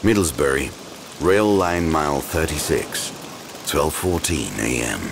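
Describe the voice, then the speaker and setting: An actor playing an ominous, solemn narrator in a scripted horror audio drama. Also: male voice, rumbling low, lively intonation, measured pace, vocal fry, background noise, exaggerated voice.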